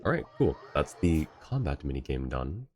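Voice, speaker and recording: A man's voice: rumbling low, sing-song, normal speed. A man livestreaming a game, giving casual commentary and thinking aloud in a hesitant way. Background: game music.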